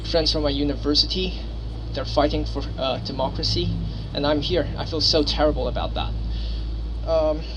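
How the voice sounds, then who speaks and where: Young Hong Kong man, higher-pitched, speaking publicly. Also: moderate pace. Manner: apologetic, unpolished, heartfelt. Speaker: exchange student speaker at a rally. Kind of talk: impromptu personal public speech. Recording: noisy ambient recording with vehicle sounds.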